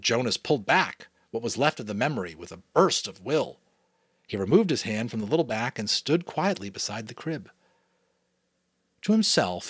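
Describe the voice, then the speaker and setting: A man from New England reading a novel aloud as a father, in a dramatic, expressive narration style. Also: medium pitch, slightly rough, dry recording, clean studio sound. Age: adult, a father. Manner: expressive, varied delivery. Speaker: audiobook reader.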